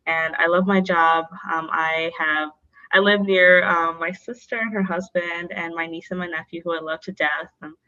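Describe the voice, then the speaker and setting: A woman's voice: fairly low pitch, fairly even intonation, normal speed, pushed and bright, lo-fi sound. A young woman guest on a podcast, answering openly with frequent "um" and "like". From North Dakota, born in Bangladesh. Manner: open, many fillers like um and like. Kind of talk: candid interview answers.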